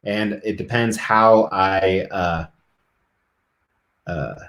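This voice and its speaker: A man's voice: low voice, drawn-out words, a bit bright, roomy sound. A middle-aged man live streaming, chatting casually and rambling to his viewers.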